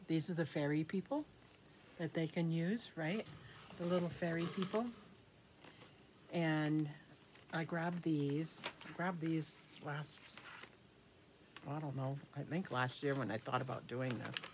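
A middle-aged American woman with a low-pitched voice talks slowly and informally as she shows craft supplies. She thinks out loud and lets sentences trail off. The recording is made in a small room with clear background noise and clicks. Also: steady tone. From United States. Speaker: craft vlogger. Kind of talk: casual rambling craft show-and-tell.

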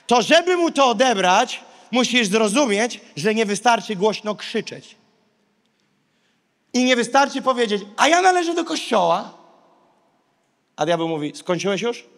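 A man in his thirties, a pastor preaching emphatically to a large congregation in short, repeated phrases with dramatic pauses. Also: sits high, a bit bright. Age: 30s. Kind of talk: sermon to a large live congregation. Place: large indoor auditorium stage. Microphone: handheld microphone. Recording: big reverberant hall PA sound.

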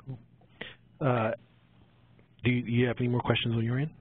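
A man's voice: mid-range voice, level tone, moderate pace, breathy edge, no room sound. A man moderating a webinar in a casual, polite style. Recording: tinny phone-like webinar line.

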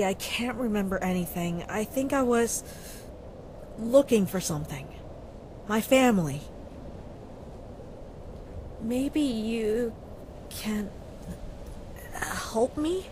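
A woman's voice: fairly high pitch, animated, takes it slowly, long pauses, noisy background. A woman voicing a timid, lost young girl in a dramatic comic dub, hesitant and stammering. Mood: timid, hopeful. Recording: voice over music.

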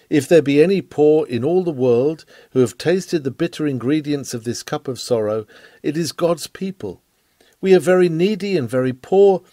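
Earnest man preaching, very animated intonation. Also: mid-range voice, no room sound, clean studio sound. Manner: earnest, rhetorical, emphatic delivery. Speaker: sermon reader. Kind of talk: reading a 19th-century sermon aloud.

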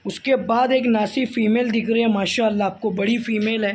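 A man's voice: sits high, steady tone, moderate pace. An Indian man presenting livestock for sale in a casual, enthusiastic, repetitive way, like a video host. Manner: enthusiastic, repetitive, echoes what the seller says. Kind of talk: casual sales presentation and interviewing. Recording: handheld phone video with background noise.

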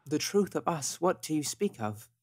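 A man's voice: fairly high pitch, very animated, moderate pace, a little mellow. A man playing a centuries-old devout seer in a tabletop game, earnest, formal and theatrical. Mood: confused.

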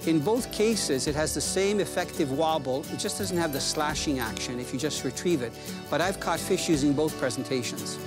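A man's voice: on the high side, normal speed, over music. A man in his sixties hosting a fishing show, explaining technique from a kayak on a lake. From Canada. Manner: relaxed and explanatory, easily excited by a catch. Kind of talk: casual outdoor fishing commentary.

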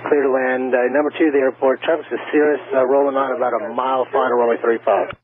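A man's voice: medium pitch, little pitch movement, quick pace, phone-quality sound. An American man working as an air traffic controller, giving informal, reassuring instructions over the radio. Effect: radio transmission.

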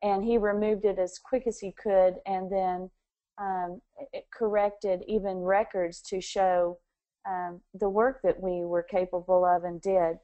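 A woman from Texas gives interview answers in a conversational way. Her voice sits at a middle pitch and has a relaxed, warm tone. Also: measured pace, highs cut off. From Texas, USA. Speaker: interview guest, former association president.

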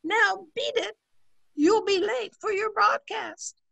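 A woman's voice: very high-pitched, slow, vocal fry, bright, forceful tone, a little hiss or hum, heavily acted. A woman in her sixties playing a sardonic, long-suffering, wisecracking maid in an old-time radio comedy, recorded over a video call. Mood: brisk, wry. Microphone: computer mic on video call.

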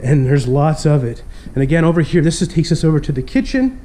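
A young man with a congested, stuffy voice gives a cheerful walking tour, talking straight to his viewers as he moves through empty rooms. His voice sits a little high but sounds soft and mellow, picked up on a handheld action camera. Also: very animated, fast. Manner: upbeat, keeps addressing viewers as guys. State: stuffy from a cold. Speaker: urban explorer vlog host. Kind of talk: casual walkthrough narration. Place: empty abandoned mansion, indoors.

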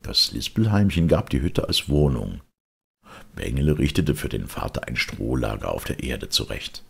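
A man narrates a storybook with a highly animated delivery. His mid-pitched voice is creaky as he reads the scripted tale. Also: normal speed. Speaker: audiobook reader.

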